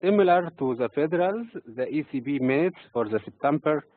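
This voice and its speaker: A man's voice: fairly high pitch, lively intonation, a little fast, no echo. Egyptian man on a tinny, phone-like line. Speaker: financial markets commentator and trading teacher. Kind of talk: prepared market commentary, read from notes. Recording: tinny, phone-like narrow-band audio.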